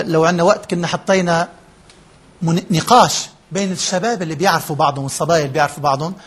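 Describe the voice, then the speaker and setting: A man's voice: high voice, slightly rough. A man leading a workshop, explaining step by step, checking in with his listeners and mixing English terms into his talk. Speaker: workshop trainer. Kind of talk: interactive lecture to a live group.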